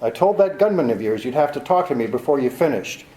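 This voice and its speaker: Man as a hard-nosed detective, blunt and sardonic, mellow dark tone, roomy echo, radio drama. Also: medium pitch, animated, fast, close to an everyday voice. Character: tough hard-nosed male private detective. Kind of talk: 1940s radio acting.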